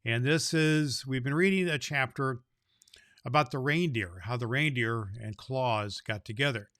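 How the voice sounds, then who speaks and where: A man playing a jolly, big-hearted Santa Claus who hosts a children's holiday story podcast in a folksy, halting, rambling way. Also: medium pitch, a bit bright, no echo, studio quality, natural voice. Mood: cheerful, folksy.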